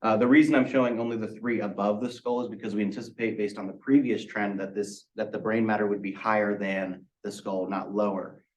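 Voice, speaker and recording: A man with a fairly low voice walks through a technical presentation. He explains methodically, one step after another, and sounds set back from the microphone. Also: flat delivery, a little fast, few pauses. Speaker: student presenter. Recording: distant microphone pickup.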